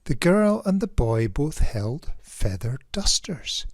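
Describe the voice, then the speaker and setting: A man narrates a rhyming picture book for children at an unhurried pace. His delivery is theatrical and emphatic, with careful measured phrasing. The sound is close and dry. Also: a little high, sing-song, no room sound. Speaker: children's author reading own picture book. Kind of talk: expressive rhyming storybook narration.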